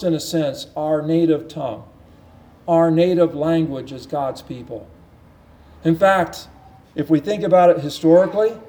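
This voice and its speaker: A man with a high, mellow voice preaches in an earnest teaching tone. He presses his points through repetition and often restarts his phrases. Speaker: pastor. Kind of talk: sermon Bible teaching.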